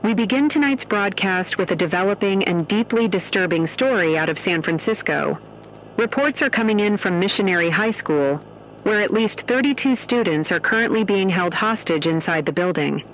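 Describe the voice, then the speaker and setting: A man's voice: high-pitched, animated, moderate pace, fairly bright tone, poor audio. A man playing a serious news anchor delivering a formal breaking-news broadcast in a horror drama. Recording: faint background noise.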